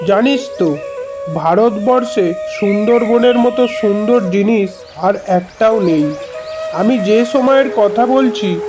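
Man acting as old grandfather, high voice, unhurried, conversational horror storytelling, music underneath. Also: darkish tone. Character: grandfatherly retired forest officer telling his ghost adventure. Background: background music.

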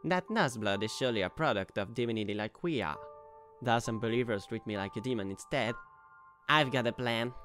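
A man's voice: medium pitch, expressive, brisk, a bit bright, faint music behind, lightly acted. A man voicing a sly, flippant old deity who schemes and teases, in an amateur comic dub. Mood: scheming.

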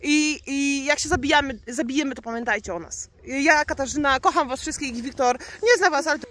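A young woman from Poland chatting to her viewers on a night ski slope. She jokes and talks excitably into a phone held at arm's length. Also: high-pitched, brisk. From Kalisz, Poland. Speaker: vlogger. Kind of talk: casual vlog chat to viewers. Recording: noisy outdoor phone audio, wind and snow.

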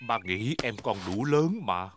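A man's voice: medium pitch, animated, unhurried, a bit bright, slight background noise. A man dubbing a jovial, bumbling father pig in a cartoon. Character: bumbling good-natured daddy pig. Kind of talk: Vietnamese cartoon dub.

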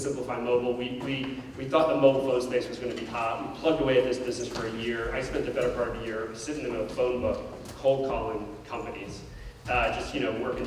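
Middle-aged man, keynote storyteller, rapid and candid, heard from far back in a big hall. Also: medium pitch, slight background noise. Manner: animated, gestures while pacing the stage; candid, self-deprecating. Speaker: keynote speaker. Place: large indoor auditorium stage. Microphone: handheld mic.

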